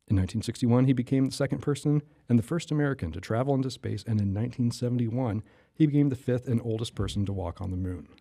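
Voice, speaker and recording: A man's voice: medium pitch, warm tone. A man, a podcast host, chatting as a guest in a casual interview and often asking "you know what I mean.".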